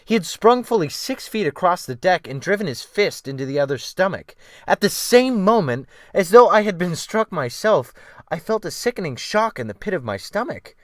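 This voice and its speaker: A man's voice: high voice, big pitch swings, normal speed, dry recording, studio quality. A man narrating a novel as a dramatic, scripted audiobook reading.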